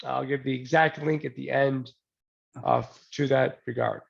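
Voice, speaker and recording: Gravelly man presenting with a cold. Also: medium pitch, a little slow, highs cut off. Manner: relaxed, joking, many ums and restarts. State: getting over a cold. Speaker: presenter at a user group talk. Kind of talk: casual technical presentation. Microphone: new desk mic, then a headset.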